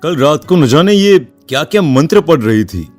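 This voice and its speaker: A man voicing a worried, protective middle-aged father in an animated story. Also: on the high side, normal speed, faint music behind. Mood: stern, worried.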